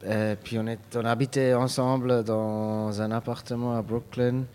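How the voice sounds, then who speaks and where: A man, a musician answering interview questions in a halting way. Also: medium pitch, little pitch movement, mellow, slight background noise. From Switzerland. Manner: halting, with many fillers and restarts.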